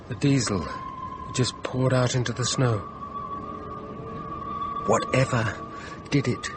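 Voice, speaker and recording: An actor playing a frightened, insistent man stranded in a snowstorm, giving grave, urgent warnings with dramatic pauses in a radio horror drama. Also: medium pitch, lightly acted.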